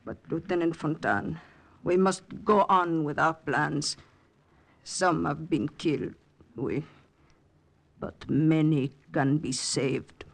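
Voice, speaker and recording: Gravelly, resolute resistance woman. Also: sits low, slow, a little hiss or hum, full character voice. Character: resolute French woman secretly aiding the wartime underground. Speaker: actress. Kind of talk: 1940s radio drama acting. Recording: vintage 1940s radio recording.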